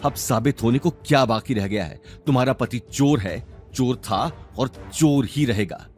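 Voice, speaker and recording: A man's voice: medium pitch, measured pace, a little mellow, soft background music, lightly acted. A man voicing an angry, spiteful young woman in an audio drama, indignant and accusing.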